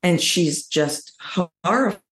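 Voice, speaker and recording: Texan woman, middle-aged, low voice, voice coach chatting in an interview, makes points emphatically. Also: expressive, measured pace. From Texas, United States. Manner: emphatic, illustrates points with acted-out quotes. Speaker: voice coach, podcast guest. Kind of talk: conversational interview.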